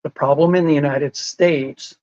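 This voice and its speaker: A man's voice: fairly high pitch, fairly even intonation, unhurried, darkish tone, no echo, highs cut off. A man in a casual podcast conversation, explaining whole foods in a patient way and laughing often. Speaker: lifestyle medicine advocate.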